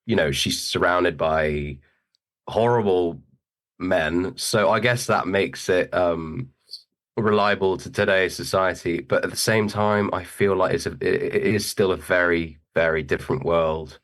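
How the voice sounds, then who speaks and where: A young man with a British accent tinged with American, speaking as an actor guest in a relaxed, hesitant interview chat, laughing easily. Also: low-pitched, a little slow. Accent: British with a hint of American.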